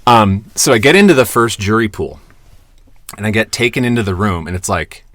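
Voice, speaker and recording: A man co-hosting a podcast, telling stories in casual chat, animated and swearing, acting out the voices of people he quotes. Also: fairly low pitch, fairly quick, no room sound. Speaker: podcast co-host.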